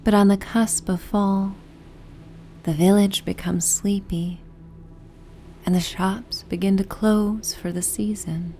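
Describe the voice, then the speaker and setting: A woman narrating a soothing sleep meditation and bedtime story in a gentle, soft, half-whispered, breathy voice. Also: medium pitch, slow. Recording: steady ocean and wind ambience noise. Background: ocean and wind ambience.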